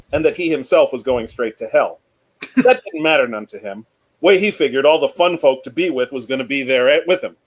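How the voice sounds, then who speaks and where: A man speaking as a panelist, chatting casually and reading book excerpts aloud in a measured, storytelling way. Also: medium pitch. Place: remote online panel from home.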